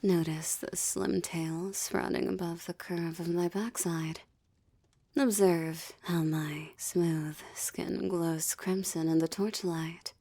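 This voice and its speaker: A woman playing a seductive, gloating succubus demoness in an intimate audio drama, teasing and haughty with theatrical delivery and breathy whispers. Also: lowish voice, steady tone, slow pace, dark tone, full character voice.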